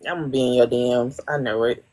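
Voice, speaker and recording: A woman's voice: very deep voice, steady tone, quick pace, a little mellow. A woman hosting a casual podcast, blunt and playful in her reactions. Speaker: podcast host.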